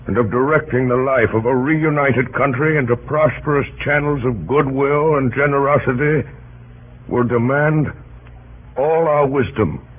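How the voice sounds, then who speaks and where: Earnest statesman, solemn oratory, very slow deliberate phrasing, old radio drama, tinny narrow-band recording. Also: mid-range voice, husky.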